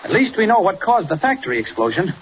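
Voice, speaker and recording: Muffled noisy old radio serial, earnest American reporter hero, high male voice, urgent and assured. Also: very animated, fast. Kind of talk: 1940s radio serial acting.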